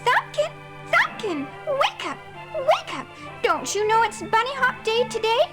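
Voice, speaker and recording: A woman voicing a bossy but caring young female rabbit with a scolding, shrill way of talking. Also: very animated, slow pace, exaggerated voice. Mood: excited. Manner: scolding, shrill when alarmed. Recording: loud music underneath.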